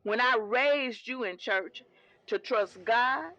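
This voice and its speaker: A woman's voice: on the high side, slow, bright, forceful tone, dry recording, dull audio, exaggerated voice. A woman playing a devout older mother in an audio drama, patient and reassuring, firm but tender, with a preachy streak. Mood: stern.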